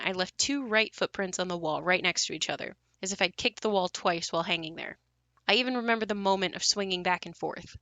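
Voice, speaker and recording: An actress plays a young woman narrating memories of a haunted house in an audio drama. Her voice is clear-edged and bright, recorded close and dry. She confides in a matter-of-fact, wry and reflective way. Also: fairly low pitch, no room sound. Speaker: narrator and lead of an audio play. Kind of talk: first-person dramatic monologue.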